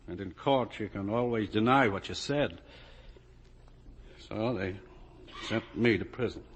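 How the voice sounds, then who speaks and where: A man acting in a 1950 radio drama as a weary, somber prisoner who insists on the truth in halting phrases. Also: on the low side. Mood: weary, insistent. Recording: echoey, rough old radio recording.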